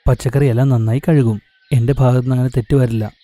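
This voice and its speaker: A very dark, muted man's performance of a young husband in a cartoon moral tale. He is gentle, sincere and placating, recorded dry and close. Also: medium pitch, fairly even intonation, fast talker, no echo, soft background music, lightly acted. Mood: earnest. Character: gentle, earnest young husband and food-stall seller. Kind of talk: cartoon moral story acting.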